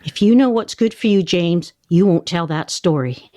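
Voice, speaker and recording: An actress playing a composed, gently admonishing wife in a radio play, with a gentle but firm manner. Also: female voice, fairly low pitch, moderate pace, lightly acted. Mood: reproving.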